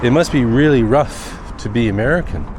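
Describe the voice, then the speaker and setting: A man talking off the cuff in a personal vlog, reflective and rambling with thoughtful pauses. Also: mid-range voice, expressive. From Canada. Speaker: daily vlogger. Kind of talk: unscripted personal vlog monologue. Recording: noisy outdoor recording with vehicle sounds.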